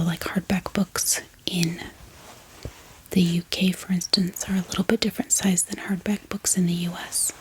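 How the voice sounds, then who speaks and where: A woman speaking in a soft, gentle ASMR whisper, musing aloud in an unhurried way as she hosts. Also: on the low side, a little hiss or hum, poor audio. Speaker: ASMR book haul host.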